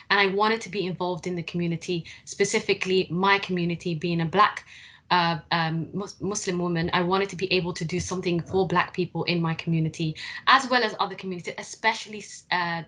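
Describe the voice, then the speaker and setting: Bright-voiced young woman. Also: fairly low pitch. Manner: earnest, encouraging, with fillers. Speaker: council apprentice speaker. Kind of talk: panel talk to students.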